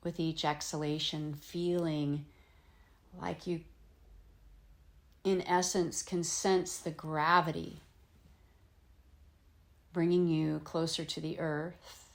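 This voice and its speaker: A woman teaching yoga nidra, leading a guided meditation in gentle, soothing phrases with frequent pauses. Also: deep voice, flat delivery. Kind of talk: guided meditation, slow instruction with long pauses.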